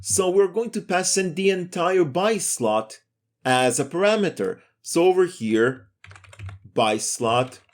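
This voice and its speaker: A man's voice: sits high, lively intonation, slow pace. A man giving a step-by-step screencast programming lesson in a methodical, explanatory way. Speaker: programming tutorial instructor.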